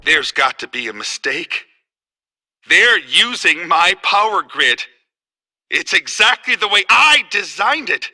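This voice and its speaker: Fretful, bright-voiced insecure engineer. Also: male voice, high-pitched, sing-song, slow pace, heavily acted. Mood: hurt, anxious. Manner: fretful, self-soothing muttering. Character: naive, insecure male engineer, overlooked and desperate for notice.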